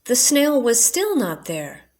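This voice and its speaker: An older woman reads a children's storybook aloud. Her voice is on the high side, and she takes her time while letting the pitch rise and fall freely. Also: wildly swooping pitch, slow, darkish tone.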